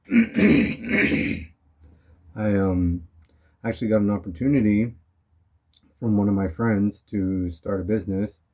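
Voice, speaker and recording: A young man talking casually in a rambling motivational vlog, his voice still hoarse from being sick. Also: fairly low pitch, takes it slowly, pauses often. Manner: relaxed, rambling, frequent "you know" and "um". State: hoarse from recent illness. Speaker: vlogger.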